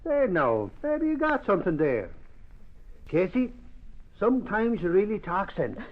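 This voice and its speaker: Brash, high-voiced newspaperman, old radio. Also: huge pitch swings, a little slow, heavily acted. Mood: blustery, uneasy. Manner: folksy, blustery, muddles his words. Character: brash, superstitious, talkative Irish-American newspaper man. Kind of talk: old-time radio comic acting. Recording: muffled old radio recording.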